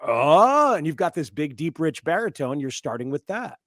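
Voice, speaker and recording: A man's voice: a little high, big pitch swings, moderate pace, a bit bright, no echo, clean studio sound. A middle-aged man hosting a sports podcast, interviewing conversationally in a wry, teasing, wordy way.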